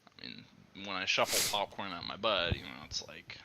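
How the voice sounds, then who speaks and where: A man's voice: deep voice, moderate pace, gravelly, background noise. A young man as an interview guest in a casual chat, joking and laughing often, talking close to a handheld mic. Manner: giggly, joking, lively. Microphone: handheld mic held close.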